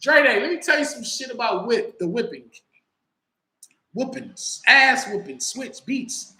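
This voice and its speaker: A man in his early forties from Los Angeles, chatting loosely on his own livestream with slang, swearing and animated storytelling. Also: very high-pitched, unhurried, roomy sound. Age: 40s. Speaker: livestream host. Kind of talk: casual livestream chat.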